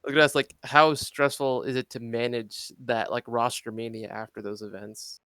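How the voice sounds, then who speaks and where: A man co-hosting a gaming podcast, asking relaxed questions with small pauses. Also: mid-range voice. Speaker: podcast co-host. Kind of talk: casual podcast interview.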